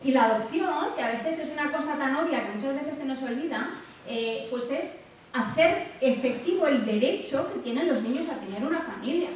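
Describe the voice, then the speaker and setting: A woman's voice: sits high, expressive, normal speed. A woman with an accent from Spain giving an informal, conversational public talk as a guest speaker. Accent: Spain Spanish. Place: echoey indoor hall at a public talk. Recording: very echoey hall, distant mic, background noise.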